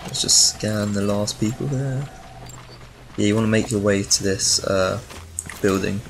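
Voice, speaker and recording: A man with a fairly low voice talks through a video game in a casual guide style. He is recorded with audible noise and game ambience behind him. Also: unhurried. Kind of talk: casual walkthrough commentary over gameplay.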